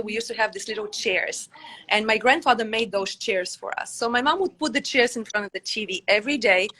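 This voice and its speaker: A woman's voice: medium pitch, fairly bright tone, low-quality recording. A woman in her forties, from Bulgaria, who answers interview questions in a reflective way and uses many fillers. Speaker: Pilates instructor and guest.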